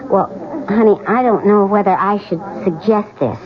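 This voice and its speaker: An actress playing a chatty, flighty, concerned friend with a gushing, hesitant manner in a radio drama. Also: female voice, medium pitch, moderate pace, a crowd in the background, natural voice. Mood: tentative. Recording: muffled old radio broadcast.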